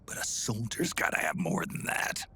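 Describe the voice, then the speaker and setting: An actor playing a gruff, world-weary old soldier in a video game, terse and brooding with a gravelly growl. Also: male voice, normal speed, bright, forceful tone, close to an everyday voice. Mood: sceptical, brooding. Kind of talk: video game cutscene acting.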